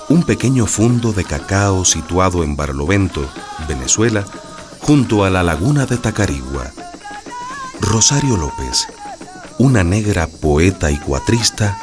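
Deep, expressive male radio narrator. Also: a little slow, music in the background. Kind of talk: scripted radio drama narration.

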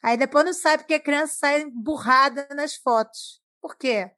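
A Brazilian woman with a high voice talks casually in a very dry-sounding recording. She is chatty and likes to tell things by example. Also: normal speed, bright, forceful tone, no room sound. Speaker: talk participant. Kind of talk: casual chat.

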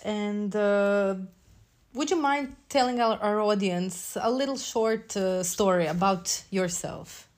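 A woman from Croatia hosting a podcast, friendly and quick to laugh as she interviews her guest. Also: medium pitch. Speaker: podcast host.

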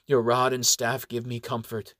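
Resigned young monk, quiet and steady, close dry mic, a man voices him. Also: mid-range voice, normal speed, no room sound, close to an everyday voice. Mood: reverent. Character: calm resigned teenage male monk.

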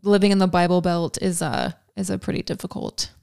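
Gravelly, smooth-voiced young woman chatting. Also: on the low side, fairly even intonation, a little fast, darkish tone, dry recording, studio quality. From Georgia, US. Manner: chatty, many ums and likes. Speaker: podcast guest. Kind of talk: casual chat.

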